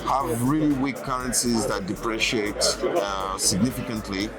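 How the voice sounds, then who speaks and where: A man's voice: lowish voice, steady tone, a little slow. A man from Cameroon, a company founder being interviewed, talking freely and often checking in with "right?" and "you know". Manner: talkative, fluent, leans on "right?" and "you know". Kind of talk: casual interview. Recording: loud music behind the voice.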